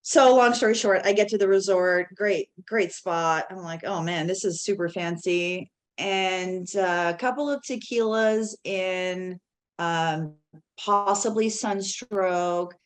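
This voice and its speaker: A woman in her forties tells stories with lots of energy. She is a guest on a casual podcast, her voice sits a little low, and she acts out other people's lines while laughing easily. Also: slow. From Canada (Toronto). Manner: animated, laughs often, quotes others in dialogue. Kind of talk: casual storytelling.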